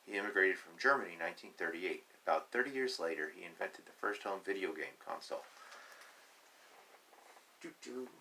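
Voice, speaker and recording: A man reads a children's book aloud in a slightly low-pitched voice with a bright, forceful edge. He has a teacherly manner, setting out key words emphatically and adding plain, simple asides to explain them. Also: expressive, a little fast, creaky, pauses often, a little hiss or hum, poor audio. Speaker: reader of a children's picture book.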